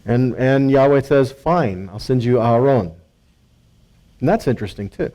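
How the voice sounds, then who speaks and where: A man's voice: medium pitch, lively intonation, a little slow, dark tone. A man giving a conversational Bible teaching, speaking emphatically, quoting and paraphrasing dialogue and checking in with his listeners. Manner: emphatic, quotes and paraphrases dialogue, rhetorical asides and check-ins. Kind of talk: conversational scripture teaching, sermon.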